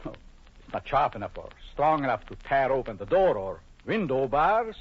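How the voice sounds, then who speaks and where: Sinister, husky jealous doctor, old radio. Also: male voice, medium pitch, sing-song, moderate pace. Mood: gloating menace. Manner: menacing, mock-polite, theatrical. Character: vengeful jealous husband, coldly sinister doctor with mock courtesy. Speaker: actor. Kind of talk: vintage radio horror acting. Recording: muffled vintage radio broadcast.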